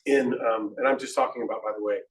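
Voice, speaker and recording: A man's voice: medium pitch, steady tone, fast talker, distant mic, rough recording. A man, a police lieutenant, briefing a community meeting on crime statistics, hesitant, with many ums and restarts.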